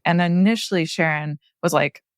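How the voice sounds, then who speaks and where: Low-voiced woman producer, chatty. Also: moderate pace, over music. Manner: chatty, uses many fillers like "like". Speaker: podcast producer. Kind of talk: casual retelling.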